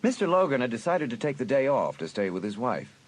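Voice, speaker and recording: A man narrating a suspense audiobook in a dramatic, deliberate storytelling manner. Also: mid-range voice, very animated.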